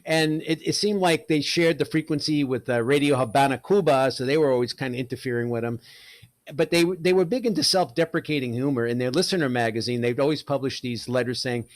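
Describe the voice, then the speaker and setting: A man's voice: mid-range voice, fairly quick. Casual, wry man reminiscing as presenter. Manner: wry, joking. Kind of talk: casual talk about old shortwave radio.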